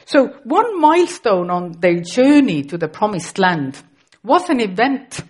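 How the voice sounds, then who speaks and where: A woman's voice: medium pitch, sing-song, a little slow, breathy edge. A middle-aged woman from Estonia, a native Estonian speaker with an Estonian accent, preaching a sermon carefully and with occasional restarts.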